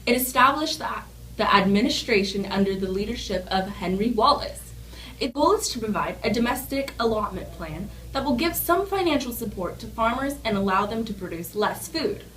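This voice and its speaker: A woman's voice: on the high side, lively intonation, moderate pace, fairly bright tone. A young woman reading scripted news as an anchor. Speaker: news anchor in an amateur newscast. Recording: distant mic, faint background noise.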